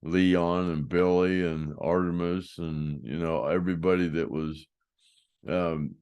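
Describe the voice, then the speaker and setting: An older man with a deep voice telling rambling stories in a casual chat, often trailing off and restarting. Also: fairly even intonation, a little slow, clean tone, highs cut off. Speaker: host, former band roadie.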